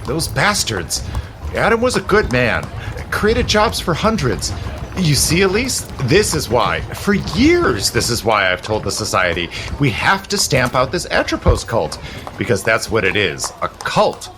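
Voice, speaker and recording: An actor playing a suave, zealous immortal gentleman in a period audio drama, with a smooth, persuasive, formal manner. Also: medium pitch, wildly swooping pitch, unhurried, noisy background. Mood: outraged, fervent. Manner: smooth, persuasive, theatrical, genteel formal phrasing.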